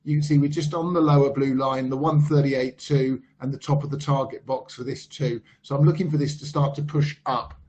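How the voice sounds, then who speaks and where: A man, losing his voice a little, talking through trading charts in a measured way with frequent pauses and ums. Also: medium pitch, fairly even intonation, a little mellow. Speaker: market analyst. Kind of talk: screen-share chart commentary. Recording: slightly dull lo-fi voice-over.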